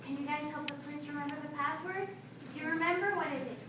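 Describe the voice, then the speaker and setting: A woman tells a children's story live in a high voice. She is recorded at a distance in a reverberant room, with loud background noise. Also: fast talker. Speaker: storyteller narrator. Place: library event room, live show. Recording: distant, echoey, very noisy room.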